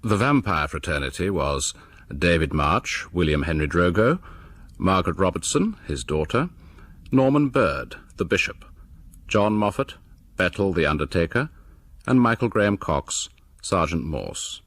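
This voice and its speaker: Male horror host, deep voice, dry studio sound, scripted narration, deliberate, theatrical, ominous. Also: sing-song, fairly bright tone, dry recording.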